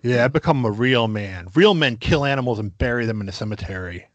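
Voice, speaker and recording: A man co-hosting a casual comedy podcast, crude and boastful, with slow, emphatic bragging. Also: medium pitch, lively intonation, dry recording, muffled recording. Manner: crude, boastful mock-macho bits, slow emphatic delivery. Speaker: podcast co-host.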